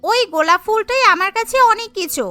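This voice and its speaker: A woman acts out a rich, scheming old lady for a cartoon. Her voice is extremely high and bright, coaxing and haughty about money, with music behind. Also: lively intonation, fairly quick, full character voice. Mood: doting. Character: rich, doting, scheming elderly girlfriend. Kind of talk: Bengali cartoon voice acting.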